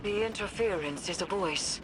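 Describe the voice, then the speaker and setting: An actor voicing a cryptic, solemn guide in a video game, speaking in a measured, grave, formal way. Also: medium pitch, natural voice. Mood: grave, focused. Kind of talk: dramatic game dialogue. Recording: loud game ambience and noise behind.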